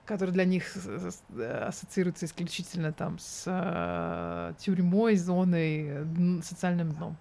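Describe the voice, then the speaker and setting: A woman's voice: lowish voice, expressive, unhurried, gravelly, few pauses, warm tone. A woman in her thirties speaking as an interview guest, candid and thoughtful with an ironic edge.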